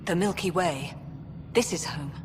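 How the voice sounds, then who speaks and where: An actress playing a weary, irritable colony official in a video game, with a curt, sardonic, exasperated manner. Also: female voice, fairly low pitch, a little slow, natural voice. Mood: exasperated, bitter. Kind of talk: video game dialogue acting. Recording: long echoing reverb, noisy ambience.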